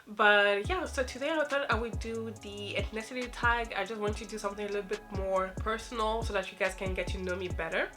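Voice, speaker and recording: A woman's voice: medium pitch, fairly even intonation, a little fast, few pauses, fairly bright tone, faint music behind. A young woman from Mali vlogging casually, a little nasal from the flu, rambling with many fillers. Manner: rambling, filler-heavy with lots of "like" and "um". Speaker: YouTuber. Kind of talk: casual personal vlog.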